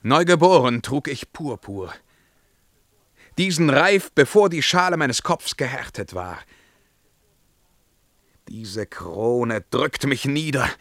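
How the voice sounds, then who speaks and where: An actor playing a brooding, anguished emperor burdened by his crown, declaiming verse in a theatrical radio drama, swinging between hushed murmurs and outcries. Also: medium pitch, animated, slow, pauses often, no room sound, studio quality. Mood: proud, burdened.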